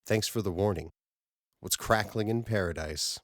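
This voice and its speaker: Man as a steady, low-voiced mission leader. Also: moderate pace, dry recording, studio quality, natural voice. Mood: calm, decisive. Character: steady male space-mission leader, dry and commanding.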